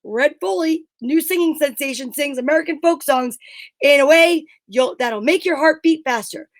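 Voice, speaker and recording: A woman's voice: high voice, moderate pace, no room sound, studio quality. A woman co-hosting an online show, chatting casually and reading vintage radio advertising copy. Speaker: co-host reading old radio show scripts.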